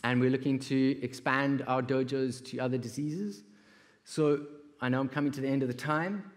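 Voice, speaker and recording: A middle-aged man with a South African accent giving a stage talk, telling personal stories with pauses and repeated words. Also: medium pitch, fairly even intonation. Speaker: conference speaker, creative entrepreneur. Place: large indoor auditorium stage. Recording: reverberant auditorium hall.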